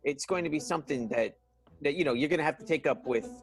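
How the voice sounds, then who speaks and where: A man's voice: a little high, fast, close to an everyday voice, poor audio. A man voicing an apologetic male theater staffer who stammers and fumbles for excuses. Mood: flustered. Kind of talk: improvised radio play.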